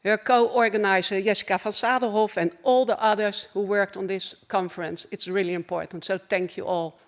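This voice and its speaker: A woman with a Dutch accent giving a formal opening keynote at a conference, earnest and measured, in short phrases. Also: medium pitch, fairly even intonation, slight background noise. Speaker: conference speaker.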